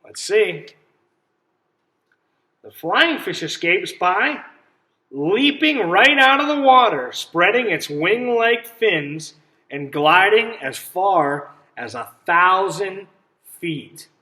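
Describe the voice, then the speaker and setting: A man reading a picture book aloud to children as a teacher, pausing to ask simple questions and explain things. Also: high voice, very animated, sharp tone. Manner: instructive, checks understanding with simple questions.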